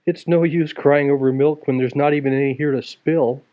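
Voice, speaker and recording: A man's voice: on the high side, fairly even intonation, normal speed, dark tone, lightly acted. A man voicing a hard-working, worried but wise mother in a fairy tale, plaintive and scolding. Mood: resigned, firm. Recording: dull lo-fi home recording.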